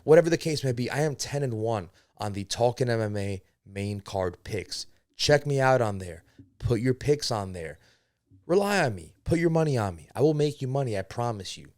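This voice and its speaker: A man's voice: mid-range voice, expressive, moderate pace, a little mellow, dry recording, clean studio sound. Rambling young man hosting an MMA podcast. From born in Spain, raised in America. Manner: rambling, profane, admits to segues. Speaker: podcast host. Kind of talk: casual solo podcast commentary.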